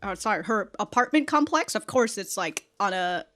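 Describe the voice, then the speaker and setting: A woman's voice: medium pitch, animated, moderate pace, a bit bright. A woman giving casual, slangy, sweary and giggly reaction commentary as host of a reaction video.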